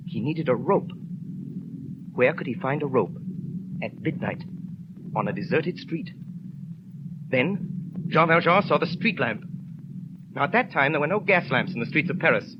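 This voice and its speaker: A man narrates a 1930s radio drama in a somewhat high voice, with suspenseful, deliberate phrasing. The recording is dull and noisy.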